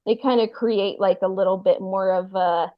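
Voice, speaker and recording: A woman podcast host with a mid-pitched voice chats casually. She feels her way through sentences, leaning on "like" and "you know". The sound is a dull, lo-fi voice call. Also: fairly even intonation, moderate pace. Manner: hesitant, frequent "like" and "you know". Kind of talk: casual chat.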